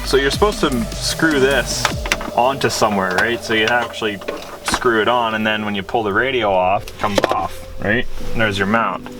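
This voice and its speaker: A man's voice: fairly low pitch, moderate pace, loud music behind the voice. Casual man narrating hands-on DIY. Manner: informal, thinking aloud while working. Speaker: vlogger. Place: vehicle cabin.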